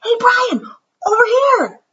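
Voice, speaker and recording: A woman's voice: very high voice, sing-song, slow pace, pushed and bright, dull audio, pitched above the performer's own voice, exaggerated voice. A woman voicing a friendly, kind young schoolboy in a storybook, upbeat, casual and encouraging. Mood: eager.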